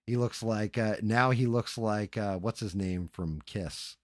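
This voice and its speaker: A man's voice: fairly low pitch, unhurried, no echo, studio quality. A middle-aged man chatting casually as a livestream host, crude and joking in a rambling, sweary way.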